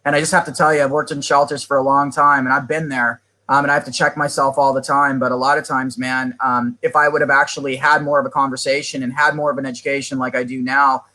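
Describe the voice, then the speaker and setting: A man's voice: medium pitch, level tone, fast, hardly any pauses, bright, forceful tone, rough recording. A man who has worked in animal shelters for years, answering interview questions in an earnest, explanatory way and now and then addressing people as "man". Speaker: animal shelter expert guest.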